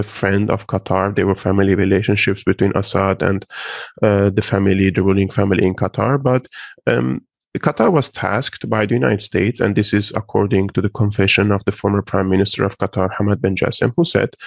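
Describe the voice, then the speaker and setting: A young man from Syria, Arabic and Armenian his first languages, answering interview questions in a measured way with frequent pauses. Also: on the low side, vocal fry, no room sound, studio quality. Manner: measured, many pauses and "uh" fillers. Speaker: guest analyst.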